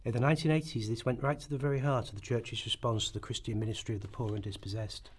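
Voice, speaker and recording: A man's voice: mid-range voice, steady tone, fast, husky. A man narrating a scripted historical radio play in a formal, earnest manner.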